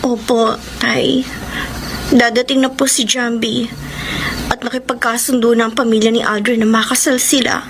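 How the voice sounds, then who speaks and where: A woman's voice: on the high side, level tone, normal speed, noisy background, natural voice. A woman playing a worried, reproachful young sweetheart in an emotive radio romance drama style. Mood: worried, sad.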